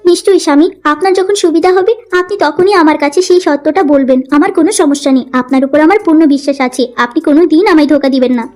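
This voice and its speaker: A woman acts a tender young village bride in a cartoon moral tale. Her voice is extremely high and quick, earnest and full of emotion. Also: a little mellow, faint music behind, lightly acted. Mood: devoted. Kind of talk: cartoon moral story acting.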